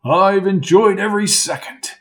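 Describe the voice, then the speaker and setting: A man voicing an eccentric, chipper old male college coach with a jaunty, high-pitched, slow manner. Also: a bit bright.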